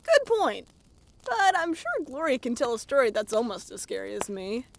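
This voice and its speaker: Casting: woman to play a cocky young female dragon for cartoon audio drama, high-voiced, very animated, slow-talking. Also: creaky. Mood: amused, teasing.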